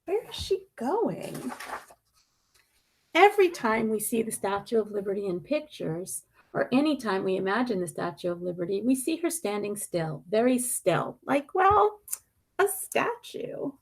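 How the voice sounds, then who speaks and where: Female storybook reader, very lively intonation, noticeable vocal fry, dramatic stresses and pauses. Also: mid-range voice. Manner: deliberate, dramatic emphasis with pointed questions and pauses. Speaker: media specialist reading a picture book aloud. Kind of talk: expressive picture-book read-aloud.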